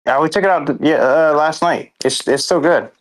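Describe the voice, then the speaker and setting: A man chatting casually as a guest, excitable and often laughing and cutting in. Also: on the high side, dry recording. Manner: excitable, laughing, quick to interrupt. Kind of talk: casual chat.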